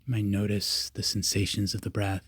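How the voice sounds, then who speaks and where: A man leading a guided meditation, giving calm, simple, repeated instructions with long pauses between them. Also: lowish voice, husky, dry recording. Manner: calm, repetitive, unhurried cues with long pauses.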